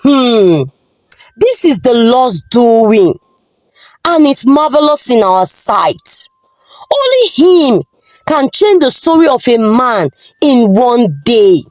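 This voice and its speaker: Woman as a devout Nigerian wife, high-voiced. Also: sing-song, slow pace, a little mellow, no echo. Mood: proud, thankful. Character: devout, supportive young wife, grateful and loving. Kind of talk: folktale character dialogue.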